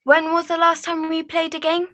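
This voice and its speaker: A woman's voice: very high voice, completely flat delivery, normal speed, pushed and bright. A young woman voicing an insistent, matter-of-fact puppet in an amateur play over a video call. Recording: muffled video call audio.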